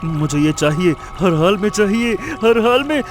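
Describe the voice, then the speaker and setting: Man acting a high-voiced greedy, feverish treasure hunter. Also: lively intonation, slow pace, fairly bright tone, exaggerated voice. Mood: gloating, craving. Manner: repeats words feverishly. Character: greedy, obsessed treasure-hunting man, excitable and desperate. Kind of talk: melodramatic animated story acting. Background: music.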